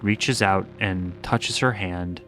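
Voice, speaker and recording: Male video essayist, low forceful voice, informal and opinionated commentary with background music. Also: takes it slowly. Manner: informal, opinionated, mild swearing. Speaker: host. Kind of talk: video essay commentary with scripted story recap and casual asides. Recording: music under the voice.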